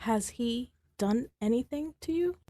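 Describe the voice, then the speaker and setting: A woman playing a patient, reassuring child therapist in a staged drama, measured and gently probing. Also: a little high, lively intonation, no room sound, clean studio sound. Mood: concerned, probing.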